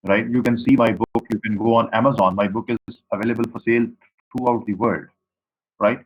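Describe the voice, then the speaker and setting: A man's voice: on the low side, fairly even intonation, a little fast, husky. Pakistani man lecturing over dull audio. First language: Saraiki. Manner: repetitive, explanatory, addresses students directly. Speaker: university lecturer. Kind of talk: online class lecture.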